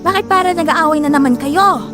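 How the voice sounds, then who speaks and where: Woman acting as very high-pitched, fretful elderly mother. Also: brisk, over music, close to an everyday voice. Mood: concerned, scolding. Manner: fretful, questioning. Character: concerned elderly mother of the household. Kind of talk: Filipino audio drama acting.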